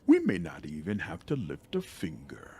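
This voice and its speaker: A man voicing a composed, calculating otherworldly male mentor in a fantasy game, speaking formally and deliberately. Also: low-pitched, wildly swooping pitch, gravelly, mellow, slightly rough, heavily acted. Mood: calm, calculating.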